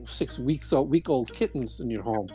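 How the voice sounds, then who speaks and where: Man, middle-aged, podcast host, chatty, rambling, medium pitch, faint music behind. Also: animated, normal speed, a little mellow, slightly rough. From Brooklyn, New York. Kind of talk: casual chat and interview.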